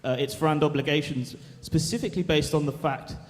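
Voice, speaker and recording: A man's voice: a little high, fairly quick. A man in the audience asking a question from the floor, stumbling, repeating words and rephrasing. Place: large lecture hall. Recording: long hall reverb.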